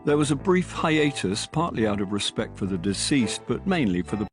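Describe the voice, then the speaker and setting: An actor playing a wry, nosy middle-aged British amateur detective in a radio drama, speaking and narrating in a dry manner. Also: male voice, medium pitch, big pitch swings, normal speed, music in the background, natural voice. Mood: wry, reflective. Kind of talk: radio drama acting with first-person narration.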